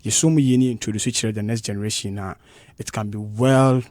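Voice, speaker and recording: A man's voice: lowish voice, fairly even intonation, fast, darkish tone, dry recording. A man with a Ghanaian English accent, a musician guest in a radio studio interview, speaking passionately and emphatically and repeating his points.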